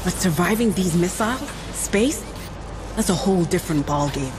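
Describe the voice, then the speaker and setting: An actress playing a practical, plainspoken starship chief engineer in a video game, with a wry manner. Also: female voice, lowish voice, expressive, normal speed, background noise, lightly acted. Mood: assured, frank.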